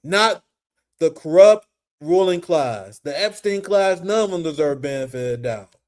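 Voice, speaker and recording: Profane, animated man, higher voice. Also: normal speed. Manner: animated, profane, laughs often. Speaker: political commentary host. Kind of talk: casual livestream commentary.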